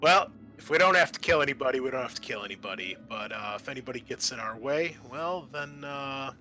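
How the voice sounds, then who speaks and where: A man playing a brisk, hard-boiled captain of space raiders in a tabletop game, blunt and profane. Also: mid-range voice, animated, sharp tone. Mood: casually menacing.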